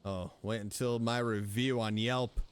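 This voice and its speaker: A woman's voice: a bass voice, fairly even intonation, measured pace, slight background noise. A woman doing casual live reaction commentary on a story game, with playful, sarcastic asides. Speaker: streamer playing a story game.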